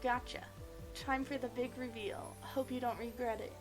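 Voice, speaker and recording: A woman acts out a brash teenage class leader in a visual novel, a tomboy who loves to tease. The delivery is cocky and pitched up, with music playing behind it. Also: fairly even intonation, normal speed, close to an everyday voice. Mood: goading. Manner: cocky, teasing.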